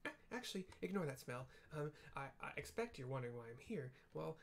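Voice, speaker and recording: A man's voice: fairly high pitch, big pitch swings, fairly quick, gravelly, a little hiss or hum. A man voicing a small, timid male engineer who stammers and frets in fussy, polite tones. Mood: flustered.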